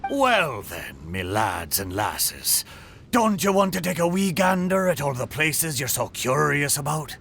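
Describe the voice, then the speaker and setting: Jolly talking boat for a game: old sea-dog swagger, slow delivery, hugely animated. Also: male voice, medium pitch. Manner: boastful, hearty pirate talk with nautical slang. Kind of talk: video game character acting.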